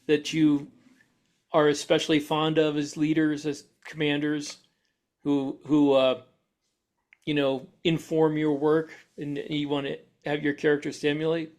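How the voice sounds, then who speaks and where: A man's voice: fairly high pitch, completely flat delivery, a little slow, a little mellow. A man co-hosting a podcast in a casual, conversational style. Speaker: podcast co-host. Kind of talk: casual chat.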